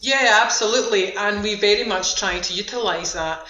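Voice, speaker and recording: A woman's voice: mid-range voice, moderate pace, pushed and bright, echoey room, muffled recording. A woman with a Scottish accent speaking informally and upbeat as a centre manager being interviewed on the radio.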